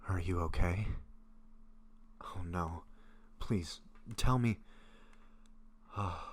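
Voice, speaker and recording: Male actor for lovestruck, gentle vampire in audio roleplay; rock-bottom pitch, halting breathy delivery, some noise behind the voice. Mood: worried. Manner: hesitant, breathy murmurs, halting phrases. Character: gentle, apologetic lovestruck young male vampire, shyly hungry.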